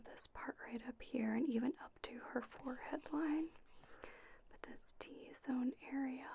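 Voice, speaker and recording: A woman whispering softly and breathily in a gentle, unhurried ASMR roleplay, reassuring as she gives instructions like a spa owner. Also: fairly high pitch, husky.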